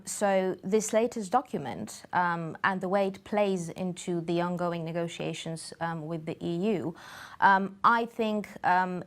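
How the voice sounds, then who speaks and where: A woman speaks in a fairly low voice in a studio interview. She answers in a measured way and pauses often with fillers between her points. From Bulgaria. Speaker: campaign group guest.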